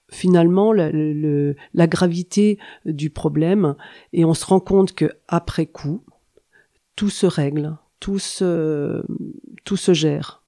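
A middle-aged woman, a practitioner of traditional Chinese medicine, explaining things in a calm, teacherly way with thoughtful pauses. She is slightly congested from a cold. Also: deep voice, vocal fry. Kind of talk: explanatory interview talk.

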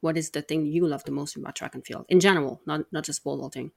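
A woman's voice: low-pitched, steady tone, fast talker, gravelly, dry recording, clean studio sound. A woman podcast host who asks brief, friendly questions and then reads a closing sign-off. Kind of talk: interview and scripted outro.

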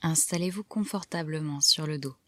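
Female meditation guide, low register, unhurried and soft, calm instructions with pauses, very dry close sound. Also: no room sound. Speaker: yoga nidra guide. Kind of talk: guided relaxation meditation narration.

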